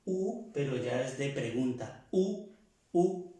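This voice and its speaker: A man's voice: medium pitch, animated, slow pace, mellow. A man from Latin America teaching French pronunciation, repeating each rule and correcting himself as he goes. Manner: repeats rules, corrects himself mid-sentence. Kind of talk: explaining pronunciation lesson. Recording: very echoey room.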